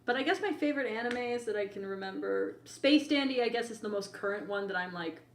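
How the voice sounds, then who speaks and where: A woman's voice: medium pitch, brisk. A woman co-hosting a podcast in casual chat, informal and teasing, with loose, sweary phrasing. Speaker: podcast co-host. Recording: roomy, echoing voice recording.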